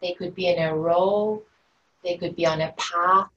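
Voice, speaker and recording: A woman meditation teacher speaks gently and without hurry, offering soft invitations. Her voice sits on the lower side. It is picked up from a distance, with faint noise in the background. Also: fairly even intonation, muffled recording. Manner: gentle, unhurried, inviting phrasing. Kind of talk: guided meditation instruction.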